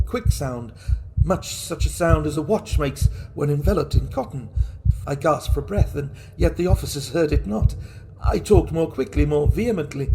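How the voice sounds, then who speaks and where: Theatrical mad murderer, acted by a man, creaky voice. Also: a little high, mellow, poor audio. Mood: uneasy. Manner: theatrical, deliberate, intense, broken into short phrases. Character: mad, guilt-racked murderer boasting of his cunning. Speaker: reader of a classic horror story. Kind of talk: dramatic first-person storytelling.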